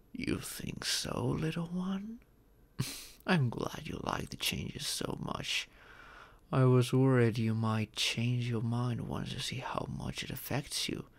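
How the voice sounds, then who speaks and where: A man's voice: medium pitch, gravelly, slightly rough, heavily acted. A man voicing an ancient male dragon, a former king and doting but stern father, speaking formally and patiently in an ASMR roleplay. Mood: tender, reassuring. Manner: formal, patient, fond, instructive. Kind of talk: ASMR roleplay, scripted monologue.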